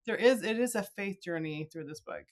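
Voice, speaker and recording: A woman's voice: medium pitch, animated, normal speed. A middle-aged woman hosting a podcast, talking in a casual, chatty way and slipping into little quoted asides. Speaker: podcast host interviewing an author. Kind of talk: casual conversational interview.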